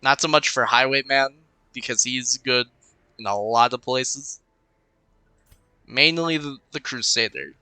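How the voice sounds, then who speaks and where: A young man in a casual gaming commentary, rambling and musing half to himself as he plays. His voice is very bright and clean, though a touch congested. The cheap desk mic sounds dry and close. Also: medium pitch, measured pace, clean tone, dry recording, low-quality recording. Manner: rambling, muttering to himself while thinking. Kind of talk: casual let's-play commentary. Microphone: cheap desk microphone.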